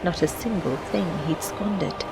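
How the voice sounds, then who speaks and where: A woman narrating a fantasy adventure game, reciting rhyming story verse in a measured, poetic way. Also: fairly low pitch, rough recording. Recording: music behind the voice. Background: background music.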